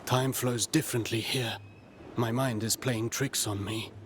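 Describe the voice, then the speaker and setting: A tired, loyal veteran fighter played by a man speaks with stoic gravity in a game cutscene. His mid-pitched voice is measured, with clear rumbling noise behind it. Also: steady tone, natural voice. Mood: weary, troubled. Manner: measured, grave. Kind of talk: dramatic video game cutscene acting.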